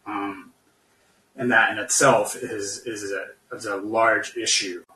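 Distant and roomy, this is a man giving his views as a guest in a political discussion. He speaks slowly and haltingly, often searching for the next word. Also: lowish voice, fairly even intonation, sharp tone. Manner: halting, hesitant, searching for words. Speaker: podcast guest. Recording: roomy, echoey, distant from the mic.

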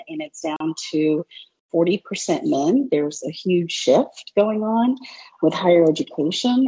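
A woman calling in to a panel discussion, speaking hesitantly with many pauses and fillers. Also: lowish voice, mellow, husky, no echo, poor audio. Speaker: caller.

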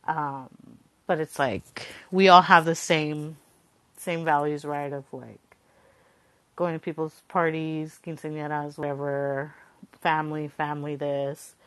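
A woman's voice: sits low, level tone, unhurried. A young woman from Southern California, reflecting casually and conversationally, peppering her talk with "like" and checking in with "right?". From Southern California, Coachella Valley. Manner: informal, conversational, frequent 'like' and tag 'right?'. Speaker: podcast speaker.

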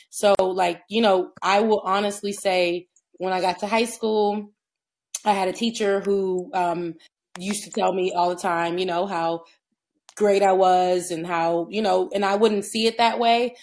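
A woman's voice: medium pitch, fairly even intonation, unhurried, creaky, a little mellow. A young woman whose first language was Haitian Creole, co-hosting a podcast and candidly telling her story in casual, slangy talk. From United States, Haitian immigrant family. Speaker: podcast co-host.

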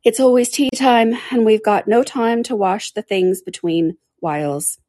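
A woman's voice: fairly high pitch, a little slow, dark tone, no room sound, clean studio sound, pitched above the performer's own voice. An eccentric, contrary man from a storybook, performed by a woman reader. He speaks in a raised, emphatic voice, rude by temper with a mournful streak.

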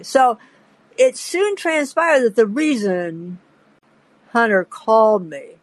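A woman's voice: mid-range voice, no room sound, low-quality recording. Older woman telling rambling anecdotes. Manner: chatty, digressive, laughs mid-story. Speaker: podcast host.

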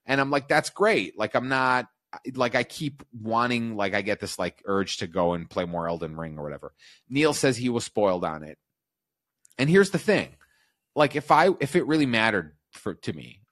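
Animated, sweary man on a podcast. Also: mid-range voice, normal speed, no echo, clean studio sound. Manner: emphatic, sweary, repeats himself for effect. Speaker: podcast host. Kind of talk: casual opinionated live podcast chat.

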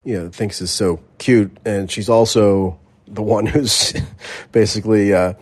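A man's voice: lowish voice, steady tone, unhurried, darkish tone. A man hosting a call-in podcast, careful and qualifying what he says, with frequent restarts. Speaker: podcast host. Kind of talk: casual chat.

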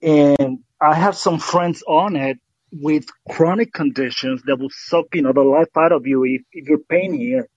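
A man's voice: a little high, no room sound. Man on a dull call line. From Puerto Rico. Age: 50s. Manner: halting, searching for words. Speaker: caller. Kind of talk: call-in question.

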